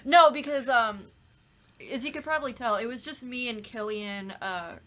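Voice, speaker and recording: A woman's voice: fairly high pitch, normal speed, bright, forceful tone. A woman who works as a voice actor, chatting casually on a podcast panel. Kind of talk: casual chat.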